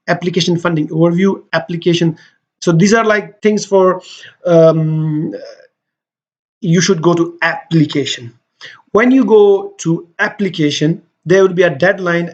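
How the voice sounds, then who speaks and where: A man with a somewhat high voice walks viewers through an online application on screen. He talks loosely and conversationally, often pausing and restarting. The audio is dull and lo-fi. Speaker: host giving application guidance. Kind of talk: screen-share walkthrough tutorial.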